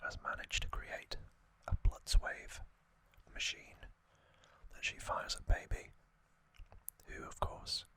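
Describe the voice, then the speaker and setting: Slow, bright whispering man. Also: rough recording. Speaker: host of a whispered trivia and review show. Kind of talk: ASMR whispered commentary.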